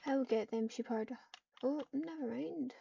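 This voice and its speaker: A woman with a fairly high, mellow voice reads out roleplay lines as she games. She drops into casual, muttered asides to herself. The recording is dull, with some noise, typing and clicks behind her. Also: a little slow, gravelly. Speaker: online game player roleplaying. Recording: dull lo-fi mic, keyboard clatter.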